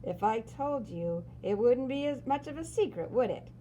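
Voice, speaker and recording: A woman plays a storybook superhero man who is stepping down. The delivery is breezy and sure of itself, with a teasing lilt and a mellow tone. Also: fairly high pitch, expressive, normal speed, a little hiss or hum, close to an everyday voice, rough recording. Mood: breezy, assured. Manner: breezy, self-assured, teasing. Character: confident, easygoing male superhero retiring from the job.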